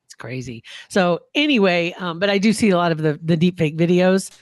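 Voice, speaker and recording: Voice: mid-range voice, animated, fairly quick, dry recording. A podcast co-host in casual chat, talking freely and laughing often.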